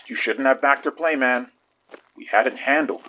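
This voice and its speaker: A man's voice: mid-range voice, unhurried, bright, forceful tone, low-quality recording. A man playing a stubborn, protective detective in a horror audio drama, arguing emphatically and with exasperation. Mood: exasperated. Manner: emphatic, argumentative.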